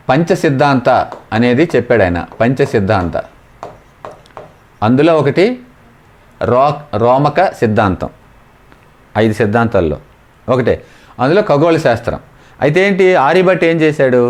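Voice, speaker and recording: A man with a Telugu accent working through exam questions as a coaching teacher, explaining informally and repeating key terms. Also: medium pitch.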